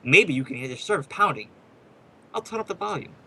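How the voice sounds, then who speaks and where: A man's voice: on the high side, very animated, brisk, sharp tone, slight background noise, exaggerated voice. A man acting a brash, wisecracking ghost hunter who hosts a radio program, in a chatty, showy old-time radio drama style.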